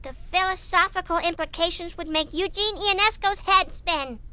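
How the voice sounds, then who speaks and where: A woman's voice: very high voice, moderate pace, sharp tone. A woman voicing an earnest, articulate eight-year-old cartoon girl who lectures the adults. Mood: indignant. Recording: game audio with music underneath.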